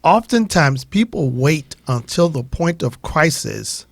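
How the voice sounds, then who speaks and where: A man talking reflectively on a radio show, explaining his points in a measured way. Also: fairly high pitch, big pitch swings, vocal fry, no echo.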